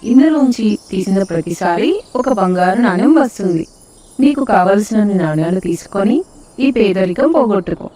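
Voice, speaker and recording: A woman's voice: medium pitch, animated, normal speed, warm tone, close to an everyday voice. A woman voicing a benevolent, commanding goddess of wealth in a children's cartoon story, proclaiming solemnly. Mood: gracious.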